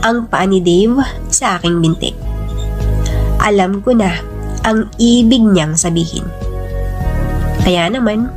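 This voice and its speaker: A woman's voice: mid-range voice, slow, pauses often, over music. A woman with a Filipino accent narrating a first-person story in a dramatic radio storytelling style.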